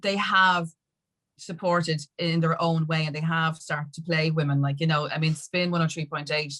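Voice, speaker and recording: An Irish woman with a slightly low-pitched voice speaks as a guest in a casual interview. Her talk is chatty and emphatic, sprinkled with conversational tags. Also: fairly even intonation, normal speed, fairly bright tone. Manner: chatty, emphatic, peppered with "like" and "you know". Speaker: interview guest, music industry activist.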